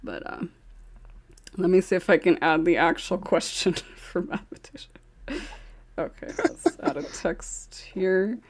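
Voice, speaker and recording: A woman's voice: on the low side, expressive, measured pace. A woman hosting a casual group podcast, moderating questions in a giggly, apologetic and flustered way. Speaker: podcast host.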